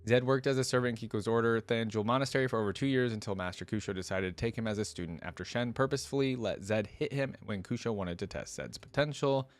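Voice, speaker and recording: A man's voice: medium pitch, quick pace, few pauses. A man giving casual reaction commentary, reading aloud and adding informal, exclaiming asides as host.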